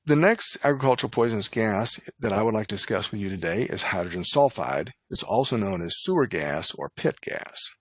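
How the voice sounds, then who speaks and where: A man narrating a scripted medical lecture as an instructor, in a formal, measured, instructional manner. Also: on the low side, animated, a bit bright, no room sound, clean studio sound. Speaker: lecturer, nurse and poison specialist.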